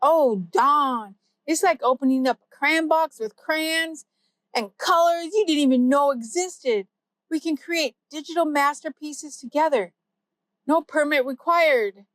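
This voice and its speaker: A quick, jokey AI sidekick with a bright, high voice, firing off punchlines in a playful rush, as a woman puts it on. The sound is dry and close. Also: dry recording. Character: fast, snappy wisecracking comic AI sidekick.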